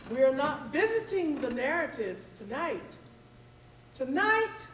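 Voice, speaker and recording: Slow, high-pitched woman giving a formal welcome. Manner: oratorical, deliberate, measured phrasing. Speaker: host of a readers theatre. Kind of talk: formal stage welcome address. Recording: roomy, distant mic, faint noise.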